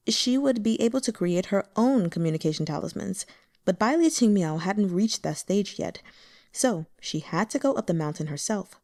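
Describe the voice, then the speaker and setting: A woman's voice: on the low side, creaky, dark tone, no room sound, clean studio sound. A woman narrating a fan-translated novel expressively and dropping sarcastic asides. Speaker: audiobook narrator.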